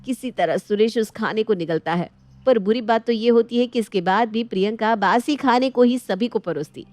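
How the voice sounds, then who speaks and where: A woman's voice: fairly high pitch, moderate pace, a little mellow, dry recording. A woman storyteller reading a scripted moral story in narration style.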